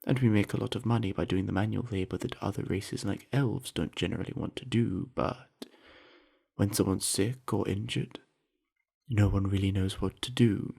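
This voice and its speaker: Friendly orc student athlete, male voice actor, ASMR roleplay: husky, creaky, slow, sincere and chatty. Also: lowish voice, warm tone. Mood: earnest. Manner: easygoing, chatty, earnest, with musing pauses. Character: friendly, earnest, sweaty young male orc university jock. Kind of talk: scripted ASMR roleplay addressed to the listener.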